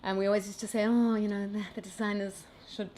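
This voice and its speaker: A woman's voice: mid-range voice, level tone, fast, a little mellow. South African woman explaining textile engineering. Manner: conversational, technical, frequent restarts. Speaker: brand founder. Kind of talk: casual interview.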